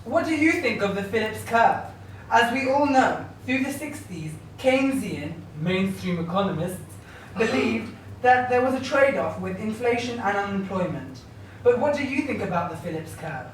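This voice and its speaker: A woman's voice: medium pitch, lively intonation, moderate pace. A woman acting as interviewer in a scripted mock television interview, putting formal questions to a guest. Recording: very echoey room, distant mic, faint noise.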